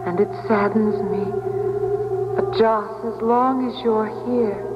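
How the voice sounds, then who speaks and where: An actress playing the gentle, sorrowful ghost of a dead wife, tender and pleading, in 1970s radio drama style. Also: female voice, fairly high pitch, steady tone, slow. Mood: sad, gentle. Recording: dull 1970s radio recording under loud music.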